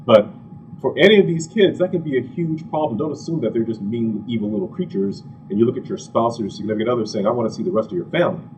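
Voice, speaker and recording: A man from Ghana giving an animated, conversational health lecture to a live audience, full of asides and rhetorical questions. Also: medium pitch, fast. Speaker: physician giving a health talk. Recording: distant, dull, slightly noisy room recording.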